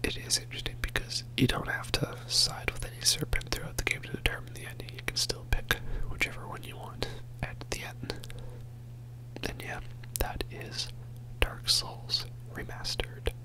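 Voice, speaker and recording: A man whispering a soft, rambling ASMR commentary over gameplay as host of his channel, joking about himself with quiet laughs. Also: normal speed, poor audio. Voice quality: whispering throughout.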